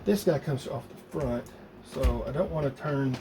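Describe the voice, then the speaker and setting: A man talking casually and haltingly, thinking aloud as he works through a hands-on project. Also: medium pitch. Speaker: hobbyist maker demonstrating a DIY project. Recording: loud background noise.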